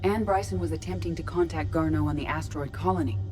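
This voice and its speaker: A woman voicing a calm ship artificial intelligence in a video game, speaking in a measured, formal, analytical way. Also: deep voice, faint music behind, natural voice. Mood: measured, matter-of-fact.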